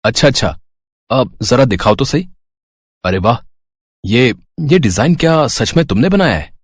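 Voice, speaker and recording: A man's voice: medium pitch, lively intonation, moderate pace, breathy edge, dry recording, studio quality. A man voicing a curious, appreciative young husband in a story. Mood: curious, impressed.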